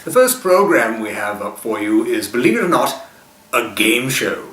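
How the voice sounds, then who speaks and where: A man hosts in a theatrical, mock-spooky style with a scripted delivery. His voice is bright and projected, heard a little way from the microphone in an echoing room. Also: mid-range voice, sing-song, moderate pace. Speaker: show host. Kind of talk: theatrical horror-host scripted introduction. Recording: roomy, echoing, distant from mic.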